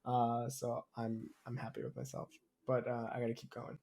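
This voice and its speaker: A man's voice: medium pitch, completely flat delivery, normal speed, vocal fry, a crowd in the background. Young man with a mid-range voice, bantering. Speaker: podcast co-host. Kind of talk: casual gamer chat.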